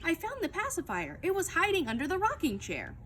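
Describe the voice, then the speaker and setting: A woman voicing an excitable little girl in a children's story, emphatic and exclaiming, with childlike grammar. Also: extremely high pitch, fairly quick, a little hiss or hum, pitched above the performer's own voice, exaggerated voice. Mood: excited, eager. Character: excitable little girl telling her own misadventures. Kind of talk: children's audiobook-style read-aloud.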